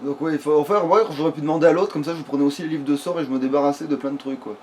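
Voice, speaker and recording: A man's voice: fairly high pitch, steady tone, very fast, darkish tone. A man giving casual, improvised video game commentary in a crude, swear-heavy way. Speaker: let's player. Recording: clearly noisy background.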